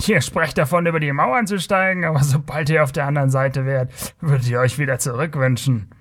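Here is a man playing a swaggering male rogue from a fantasy audiobook. He jeers and bellows with scornful condescension. His temper is short and his boasting is loud. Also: a little high, steady tone, brisk, close to an everyday voice. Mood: boastful warning. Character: mocking, boastful, hot-tempered southern male thief in a tavern.

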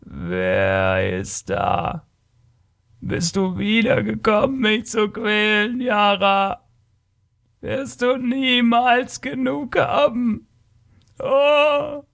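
A man voicing an ancient, blind, tortured alien creature in a story, gentle and sorrowful, with a halting, lamenting delivery. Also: high-pitched, vocal fry, voice pushed up, full character voice. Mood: anguished.

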